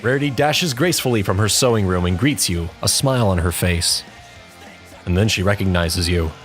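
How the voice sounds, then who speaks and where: A man's voice: low voice, brisk. A man reading scripted story narration as the narrator of an audio tale. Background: background music.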